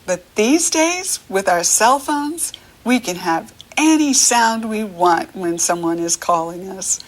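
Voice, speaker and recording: A woman with an American accent speaks very slowly to young children, in an ordinary mid-range pitch with lively rises and falls. She sounds middle-aged and teacherly. She asks simple questions, leaves pauses for answers and gives encouragement. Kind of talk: read-along lesson for young children, questions and praise.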